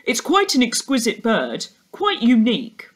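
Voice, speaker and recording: A woman's voice: fairly high pitch, huge pitch swings, unhurried, full character voice. Woman doing a keen oddball birdwatcher bloke from a kids' storybook, chatty and a bit pushy. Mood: eager. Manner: enthusiastic, insistent. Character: chatty, oddball male birdwatcher, middle-aged stranger.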